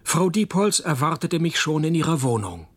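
An actor playing a wry, world-weary private detective in a radio crime comedy, deadpan and sardonic. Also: male voice, fairly high pitch, moderate pace. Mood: dry, wry. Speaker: detective and narrator. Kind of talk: radio crime comedy, first-person noir narration.

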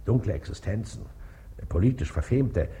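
An actor playing a pompous, self-important baron and district official in a radio comedy, officious and condescending. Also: male voice, fairly low pitch, lively intonation, normal speed, warm tone, close to an everyday voice. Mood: zealous, indignant. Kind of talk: stage comedy acting in radio play.

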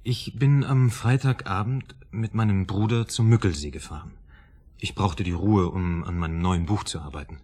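A man acting in a German radio drama as a troubled, anxious writer, earnest and polite, with a husky voice and a hesitant, pleading manner. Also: deep voice, normal speed, darkish tone.

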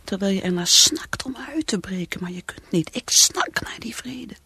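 A woman's voice: lively intonation, moderate pace, bright, forceful tone, slight background noise. An actress playing a troubled, self-doubting alcoholic woman who craves peace, in an intimate, wavering, confiding radio play monologue. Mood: anguished, weary. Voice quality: breathy, often whispering. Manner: confiding, wavering, highly emotional.

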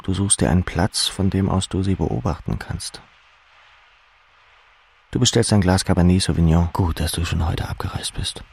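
Deep, gravelly male narrator. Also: animated, moderate pace, a little mellow. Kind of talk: literary second-person narration.

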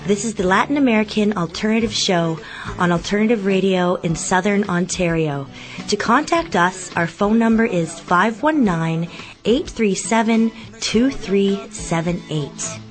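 A woman's voice: mid-range voice, slow pace, soft background music. Woman reading a station ID. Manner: clear, announcing tone. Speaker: station announcer. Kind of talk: scripted station identification.

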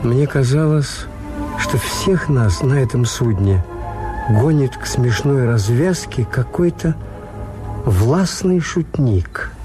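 An actor playing a cynical, reserved hotel owner in a Soviet radio drama, speaking and narrating in a measured, dry, courteous way. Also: male voice, medium pitch, expressive. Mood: brooding, ironic. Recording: old radio drama with music behind.

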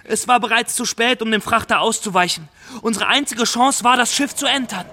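An actor in a radio play playing an earnest, eager storyteller who insistently addresses the listener. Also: male voice, very high voice, fairly quick, pushed and bright, a little hiss or hum. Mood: earnest, urgent. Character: earnest, eager male storyteller recounting his misfortune.

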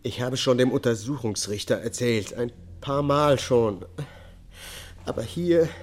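An actor playing a haunted, detached murder defendant confessing in a radio drama. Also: male voice, mid-range voice, a little slow, lightly acted. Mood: anguished. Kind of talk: German public radio drama acting, confessional testimony.

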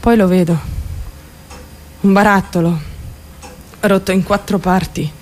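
A woman's voice: medium pitch, fairly even intonation, unhurried, close to an everyday voice, rough recording. An actress playing a troubled, volatile young woman in a dramatic radio monologue, intense and raw as she recounts her traumatic story. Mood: tense, hushed. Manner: intense, swinging between outcry and hushed narration.

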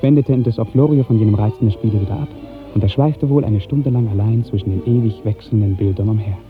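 Low-voiced man narrating, old muffled radio. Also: faint music behind. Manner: measured, lyrical storytelling. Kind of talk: literary scripted narration, 1950s German radio drama.

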